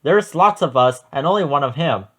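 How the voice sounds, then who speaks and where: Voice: mid-range voice, animated, normal speed, a bit bright. A storybook reader voicing a confident, bossy female goat who leads the herd. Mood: confident, explaining. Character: Jaina, bold bossy female leader goat.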